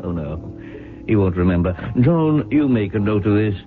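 An actor in a 1970s radio drama playing a genial, smug professor who plots sabotage in a pedantic, chuckling, theatrical manner. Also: male voice, on the low side, expressive, moderate pace, close to an everyday voice. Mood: airy, sly. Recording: phone-like vintage radio recording, faint music.

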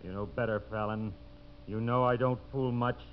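An actor playing an angry, threatening lumberjack in a blunt, menacing manner in a 1950s radio drama. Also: male voice, mid-range voice, fairly even intonation, moderate pace, natural voice. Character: angry, threatening lumberjack with a gun, demanding pay. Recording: muffled old radio recording, faint noise.

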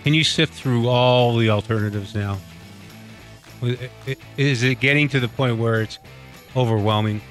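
A male radio co-host who keeps asking questions and teasing the host in easy banter. His voice is mid-pitched, and faint music runs under the talk. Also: normal speed, fairly bright tone. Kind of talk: casual sports radio banter. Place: radio studio.

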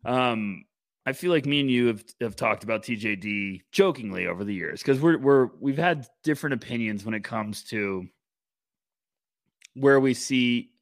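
A man co-hosting a sports podcast, chatting with energy and rambling through restarts. His voice sits at a middle pitch. It is recorded close, with a dry, dead sound. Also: normal speed, dry recording. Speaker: podcast co-host. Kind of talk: casual sports podcast chat.

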